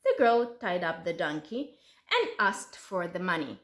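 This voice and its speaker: A woman with an Uzbek accent telling a folk tale in English as a storyteller, in an expressive storybook style. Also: lowish voice, a little slow. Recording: roomy, echoey sound.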